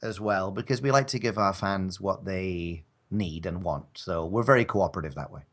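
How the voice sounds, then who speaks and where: A man's voice: sits low, moderate pace, a bit bright. A man chatting casually as a guest on a live show, rambling and modest about his own work. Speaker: guest, audio drama maker.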